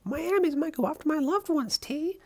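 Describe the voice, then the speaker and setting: Woman acting as young female superhero student, comic dub, animated intonation, perky, chatty, emphatic, upbeat. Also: sits high, fairly quick. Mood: perky, determined.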